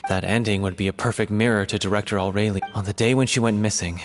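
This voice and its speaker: An actor playing an excitable, earnest young playwright in a theatre troupe for a video game. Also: male voice, lowish voice, fast, soft background music, close to an everyday voice. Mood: somber.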